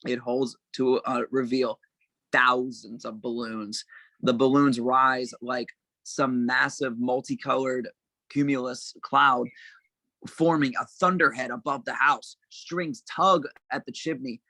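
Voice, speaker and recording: A man reads scene headings and action lines as narrator of an amateur table read. His mid-pitched voice is bright with a creaky edge, recorded fairly dry. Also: fairly even intonation, takes it slowly, no room sound, rough recording. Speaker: narrator reading stage directions.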